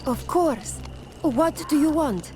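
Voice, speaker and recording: An actress playing a calm, resolute native tribeswoman in a fantasy video game, solemn and assured in her speech. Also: high-pitched, slow pace, faint music behind. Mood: obliging. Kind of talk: fantasy game dialogue acting. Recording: faint outdoor background noise.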